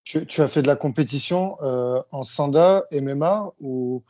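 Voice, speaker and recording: A man's voice: a little high, a little slow, mellow. A man hosting a podcast, asking plain questions with small hesitations. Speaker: podcast host. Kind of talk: interview questions. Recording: dull lo-fi audio.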